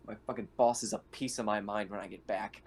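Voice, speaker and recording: Man, lowish voice, casual sweary game player, faint background noise. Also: steady tone, fairly quick, a little mellow. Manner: informal, sweary. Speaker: tabletop roleplaying game player. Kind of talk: casual in-character game chat.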